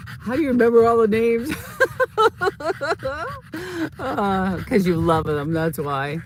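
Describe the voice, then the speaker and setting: Slow-talking man chatting outdoors. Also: sits high, a little hiss or hum. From Boston. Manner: chatty, talks fondly to his dogs and reads out chat. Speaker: livestream host. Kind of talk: casual livestream chat. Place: outdoors on desert property.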